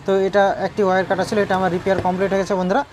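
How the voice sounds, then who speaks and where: A woman's voice: medium pitch, little pitch movement, very fast, noisy background. A woman giving an informal hands-on repair tutorial, repeating points and often checking in with viewers. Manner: repetitive, checking in with viewers with tag questions.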